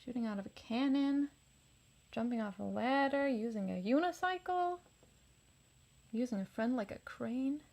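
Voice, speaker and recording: High-voiced woman reading to children. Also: animated, normal speed, long pauses, a little mellow. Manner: musing, prompting listeners with questions. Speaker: storybook reader. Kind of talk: children's read-aloud with asides and questions.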